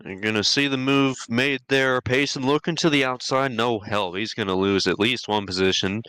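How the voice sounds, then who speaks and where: Male color analyst, sharp and clear, explanatory. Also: medium pitch, fairly even intonation, moderate pace. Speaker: color commentator. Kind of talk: sim racing broadcast commentary.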